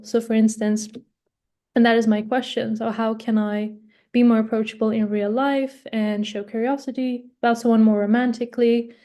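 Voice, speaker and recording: A woman's voice: a little high, warm tone. A woman in her twenties from Sweden, a podcast guest who speaks politely and hesitantly, with frequent uhs. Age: 20s. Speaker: coaching client, podcast guest. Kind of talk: conversational interview.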